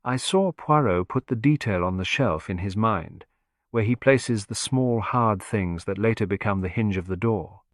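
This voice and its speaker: Dry, measured male storyteller. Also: on the low side, no echo. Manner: measured, literary delivery. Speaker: audiobook narrator. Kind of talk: scripted first-person mystery narration.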